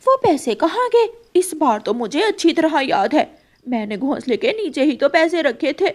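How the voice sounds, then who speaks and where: A woman voicing a worried, gentle mother crow in a cartoon story, talking anxiously and pleadingly. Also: high-pitched, sing-song, normal speed, voice pushed up. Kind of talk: Hindi cartoon story voice acting.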